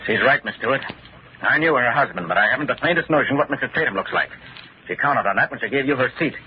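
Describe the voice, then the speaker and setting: An actor playing a bitter, vengeful widower with a dramatic, brooding manner in an old-time radio mystery. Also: male voice, mid-range voice, expressive, fast, lightly acted. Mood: grim. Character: bitter, vengeful widower hunting his wife's killer. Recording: muffled, noisy old radio sound.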